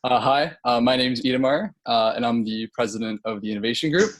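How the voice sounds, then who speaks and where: A man's voice: mid-range voice, quick pace. A young man introducing himself on a student panel, hesitant with fillers. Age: young adult. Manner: hesitant, laughing, filler-heavy. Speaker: student group president. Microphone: video call.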